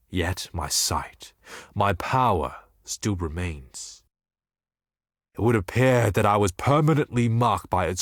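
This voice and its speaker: An actor playing a blind, scheming and tormented desert seer in a fantasy game, speaking in solemn, formal, theatrical monologue. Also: male voice, low voice, no room sound, studio quality, exaggerated voice. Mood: haunted. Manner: solemn, formal, ominous, deliberate theatrical delivery.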